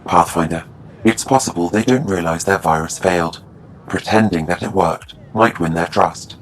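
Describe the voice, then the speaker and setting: An actor playing a calm, logical male artificial intelligence in a video game, measured, formal and precise. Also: low-pitched, a little hiss or hum, close to an everyday voice, rough recording. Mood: calm advising. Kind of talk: video game dialogue acting.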